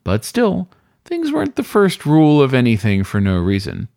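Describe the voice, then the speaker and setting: A man reading a story aloud as scripted audiobook narration, in an expressive storytelling manner. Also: medium pitch, normal speed, gravelly, no room sound, clean studio sound. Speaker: story reader.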